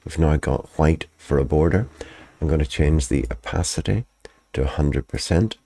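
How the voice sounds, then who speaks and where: Very deep-voiced man calmly explaining edits. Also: moderate pace, no echo. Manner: calm, methodical step-by-step explaining. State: slightly croaky after flu. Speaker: video editing tutor. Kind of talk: screen-recorded software tutorial.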